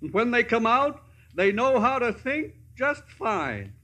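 Lively, pompous humbug wizard. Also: male voice, very high-pitched, unhurried, exaggerated voice. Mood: pompous, kindly. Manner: blustering, grandiloquent. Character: flustered, kindly humbug wizard, pompous older man. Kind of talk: 1950s radio drama acting.

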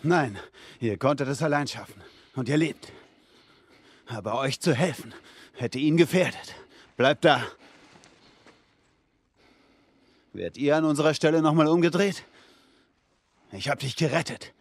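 A man's voice: on the high side, moderate pace, pauses often, dry recording. An actor playing a young male survivor in a video game, a protective and confident older brother who speaks informally and assertively. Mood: agitated, pleading. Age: young adult. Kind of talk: dramatic video game acting.

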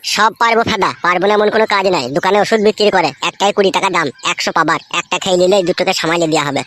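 Man doing a rapid-fire, high-pitched hot-headed cartoon guy. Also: fairly even intonation, sharp tone. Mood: defiant. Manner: foul-mouthed, belligerent. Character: stubborn, lovesick, hot-headed married young man. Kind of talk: crude comedy cartoon dialogue.

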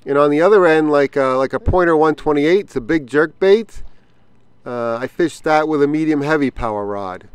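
A man's voice: fairly high pitch, smooth, no room sound. Man explaining fishing from a boat. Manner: relaxed explanatory, thinking aloud with fillers. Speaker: fishing channel host. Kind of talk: casual outdoor fishing vlog. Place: small boat on a pond outdoors. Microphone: action camera on the boat.

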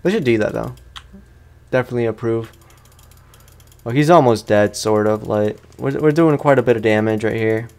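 A man with a mid-pitched voice gives casual commentary as he plays a video game. He is chatty and playful, talking in loose slang as the host of a let's play. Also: normal speed, long pauses, a little mellow.

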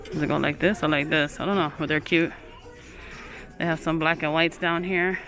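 Low-voiced woman filming a store tour. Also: steady tone, normal speed, fairly bright tone, rough recording. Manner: chatty, keeps calling things cute, reads prices aloud. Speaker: shopping vlogger. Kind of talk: casual shop-with-me narration. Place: inside a large home goods store. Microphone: handheld phone camera. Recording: store recording with music playing behind.

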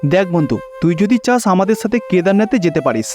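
Man as friendly villager, high voice, hearty, chatty, cartoon story, faint music. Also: very animated, fast, mellow. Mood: cheerful. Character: cheerful, friendly middle-aged village man, old friend.

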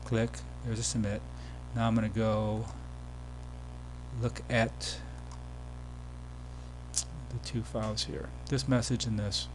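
A man giving a casual, step-by-step software tutorial as the presenter of a screen demo. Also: fairly low pitch, level tone, takes it slowly, long pauses. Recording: background hum and noise.

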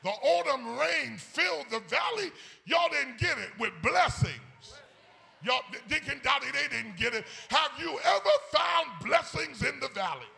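A man's voice: very high voice, lively intonation, measured pace, vocal fry, sharp tone. An older man preaching a sermon, chanting and whooping, calling on the congregation to answer back. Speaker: pastor. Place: church sanctuary.

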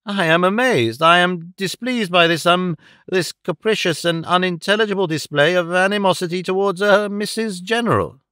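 A man voicing a pompous, indignant middle-aged gentleman father in an audiobook, halting and hemming through grandiose sentences. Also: high-pitched, clear, resonant tone, no room sound, studio quality, pitched above the performer's own voice. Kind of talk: audiobook character acting of a Victorian novel.

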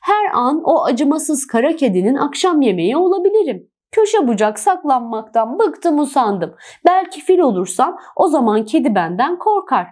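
A woman's voice: sits high, sing-song, moderate pace, hardly any pauses, a little mellow, voice pushed up. A woman voicing a tiny, weary and wistful young sparrow in a children's storybook, sighing and plaintive. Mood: weary, pleading.

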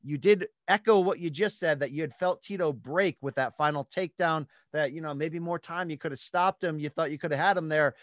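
A man in his forties hosting a sports podcast, recapping and asking questions with emphatic, animated delivery into a close studio mic. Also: a little high, fairly quick, hardly any pauses, no room sound. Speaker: podcast host. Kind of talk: sports talk recap and interview. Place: small home studio room. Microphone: close studio microphone on boom arm.

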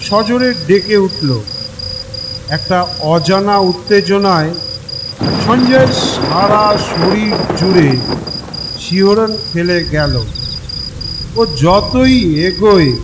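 A man narrating a scripted ghost story with deliberate, dramatic phrasing in short pieces. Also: sits high. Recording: long reverb, loud night-ambience noise.

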